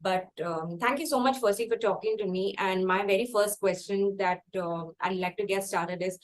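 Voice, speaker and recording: A woman's voice: mid-range voice, normal speed. Indian woman hosting a conversational interview. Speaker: interview host.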